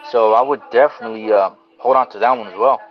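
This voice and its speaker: A man's voice: medium pitch, fast talker. Chatty man on lo-fi call audio. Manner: friendly, says "brother" and "bro" often, many fillers. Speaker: guest speaker. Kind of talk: casual chat. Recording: dull lo-fi call audio with faint noise.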